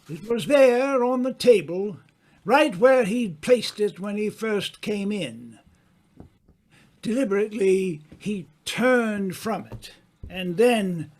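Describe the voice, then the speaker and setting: A man narrating an old-time radio mystery in a dramatic, deliberate storytelling manner. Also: sits high.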